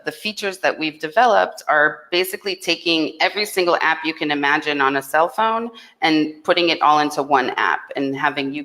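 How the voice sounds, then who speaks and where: A woman's voice: deep voice, a bit bright, low-quality recording. A woman co-hosting a casual live chat as a company rep, explaining things in an upbeat way.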